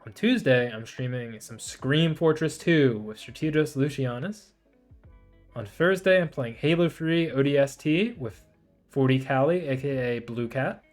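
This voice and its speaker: A man livestreaming in a casual chat style, rambling and thinking aloud as he hosts. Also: medium pitch. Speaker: livestreamer, VTuber host. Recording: music behind the voice. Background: background music, guitar.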